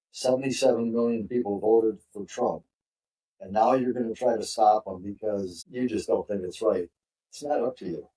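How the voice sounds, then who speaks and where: A man with a very dark, mellow, somewhat low voice co-hosts a casual podcast. He speaks bluntly and emphatically, urging his listeners to act. He sounds set back from the microphone. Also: recorded from a distance, poor audio. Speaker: podcast co-host. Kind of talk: casual political discussion.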